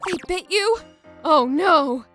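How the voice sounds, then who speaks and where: A woman voicing an eager, hot-blooded young female office worker in an animated manga story, expressive and easily exasperated. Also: very high-pitched, takes it slowly, loud music behind the voice, heavily acted. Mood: panicked. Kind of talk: animated manga voice acting and narration.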